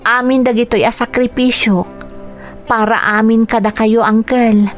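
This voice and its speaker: An actress with a Filipino accent playing a caring, forgiving young niece in a radio drama, tender and encouraging. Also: female voice, fairly high pitch, flat delivery, measured pace, soft background music, natural voice.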